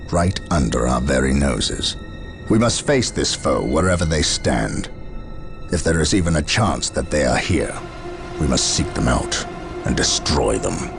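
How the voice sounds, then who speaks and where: A stern space marine commander from a video game, with an extremely low, gravelly male voice. He speaks formally and proudly, with big swells of intonation, over prominent music. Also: heavily acted. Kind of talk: dramatic video game voice acting.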